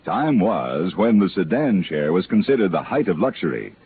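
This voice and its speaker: A man's voice: deep voice, very animated, moderate pace. An American man reading a scripted 1950s radio promo as a polished, persuasive announcer. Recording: muffled, hissy old radio recording.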